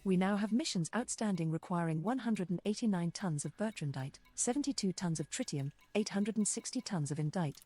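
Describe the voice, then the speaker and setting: A woman playing a composed, sly spaceship computer assistant in a game voice pack, speaking in precise, measured phrases. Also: lowish voice, expressive, dark tone, breathy edge. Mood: composed, formal. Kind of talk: scripted game voice-pack announcements.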